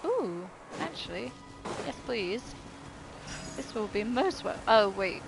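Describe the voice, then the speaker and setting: High-voiced woman chatting while gaming. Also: sing-song, slow pace, vocal fry, music in the background, rough recording. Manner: chatty, informal, sweary. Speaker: game streamer. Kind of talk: casual live gameplay chat.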